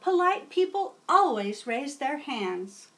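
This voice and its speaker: Storybook role: kindly old schoolmistress played by a woman, slow, sing-song delivery, patient with little ones. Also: high-pitched, big pitch swings, fairly bright tone, voice pushed up, heavily acted. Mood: kindly instructing. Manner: sing-song, patient, addressing small children.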